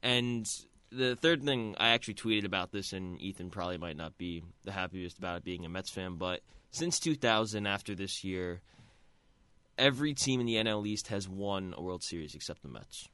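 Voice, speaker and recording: A man's voice: sits low, normal speed, sharp tone, clean tone, no room sound. A young man co-hosting casual sports talk, laying out his points one by one. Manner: organized, makes points in order. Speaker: co-host.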